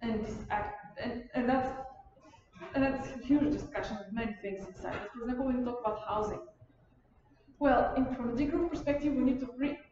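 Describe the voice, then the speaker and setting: A young woman from Bulgaria giving an informal lecture in a halting, rephrasing, digressive way. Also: on the high side. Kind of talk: informal lecture and discussion of questions. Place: university lecture room. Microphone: far camera. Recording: distant mic, muffled, echoey room, loud noise.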